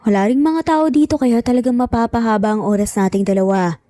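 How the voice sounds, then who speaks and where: A woman's voice: a little high, fast talker, mellow, no echo, lightly acted. A woman voicing a gloating, vengeful middle-aged male villain in an audio story, taunting and menacing. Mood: gloating menace.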